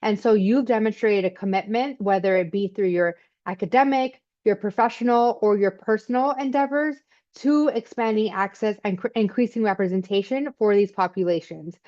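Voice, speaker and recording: A woman hosting a webinar on a video call, speaking in a relaxed, conversational way with easy fillers. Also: mid-range voice, normal speed, muffled recording. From Miami. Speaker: webinar host.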